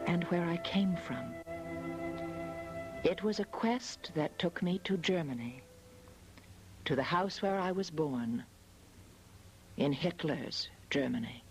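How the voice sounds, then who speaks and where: A woman delivers scripted first-person documentary narration. She speaks at a very unhurried pace, measured and reflective throughout. Music is clearly audible behind her voice. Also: on the low side, animated. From United States, after early childhood in Australia. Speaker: photographer narrating her family history.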